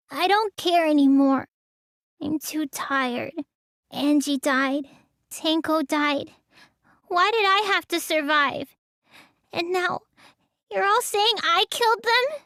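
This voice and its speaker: High, childlike, sluggish girl magician. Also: a bit bright, no room sound, studio quality, full character voice. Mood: weary, defeated. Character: petite sluggish teenage girl magician, sullen, stubborn. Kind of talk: English anime game voice acting.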